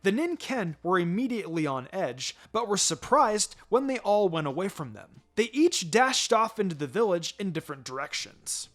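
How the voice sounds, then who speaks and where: Lively story narrator over faint music. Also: mid-range voice, normal speed, hardly any pauses. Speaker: fan fiction reader. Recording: music behind the voice.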